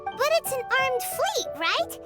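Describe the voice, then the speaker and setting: A woman voicing a tiny, childlike, excitable fairy companion in a video game, squeaky and inquisitive. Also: slow pace, heavily acted. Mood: doubtful. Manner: exclamatory, inquisitive. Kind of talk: video game dialogue acting. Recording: loud game music behind the voice. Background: background music.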